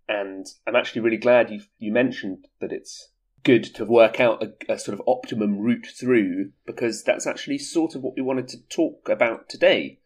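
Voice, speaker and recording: A man hosting a casual podcast chat, sounding a little congested from a cold and explaining things at length. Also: fairly low pitch. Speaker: podcast host.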